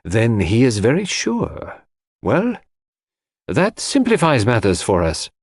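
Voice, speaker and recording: A man's voice: mid-range voice, wildly swooping pitch, slow, breathy edge, no room sound, clean studio sound, pitched above the performer's own voice, heavily acted. A man voicing a fussy, shrewd older detective, precise and theatrical in an audiobook. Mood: brisk, precise. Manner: precise, theatrical, formal phrasing. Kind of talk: audiobook character acting.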